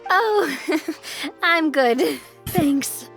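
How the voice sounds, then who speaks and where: A woman's voice: high-pitched, animated, drawn-out words, vocal fry, fairly bright tone, soft background music, full character voice. A woman playing a friendly, teasing but tactful young noblewoman in an anime game, breezy and conversational. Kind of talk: English anime game voice acting.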